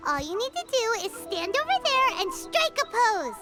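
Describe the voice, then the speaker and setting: A woman voicing a small, cheerful, childlike fairy companion in a video game, chatty and bubbly with a squeaky voice. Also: very animated, moderate pace. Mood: upbeat, helpful. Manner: bubbly, exclamatory. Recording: music playing behind the voice.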